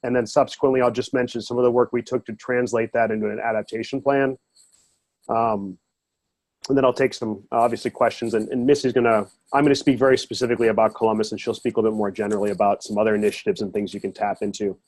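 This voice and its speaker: A man getting over a cold, giving a webinar presentation over a video call in a quick, informal way. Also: on the low side, flat delivery, a little mellow, dry recording. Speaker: education and outreach director.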